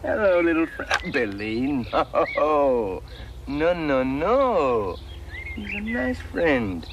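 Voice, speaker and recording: A sing-song, high man's voice, as an actor plays a doting owner coos slowly at his pet. The sound is muffled and noisy, with birds and countryside around him. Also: big pitch swings, far from the mic, exaggerated voice. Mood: coaxing. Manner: slow coaxing baby talk. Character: doting man fussing over a pet.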